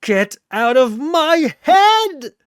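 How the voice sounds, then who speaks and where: A man's voice: very high voice, big pitch swings, extremely slow, no room sound, studio quality, pitched above the performer's own voice, full character voice. A man voicing an arrogant, cold swordsman villain with haughty contempt, in a fantasy battle story. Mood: furious. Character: arrogant, cold one-winged swordsman villain, easily enraged.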